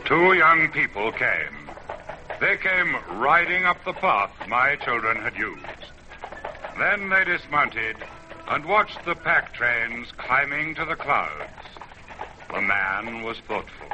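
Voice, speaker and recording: An actor playing an ancient mountain spirit, grave and watchful, in a solemn, measured old radio drama delivery. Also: male voice, medium pitch, sing-song, over music. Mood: brooding. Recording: noisy old radio broadcast recording.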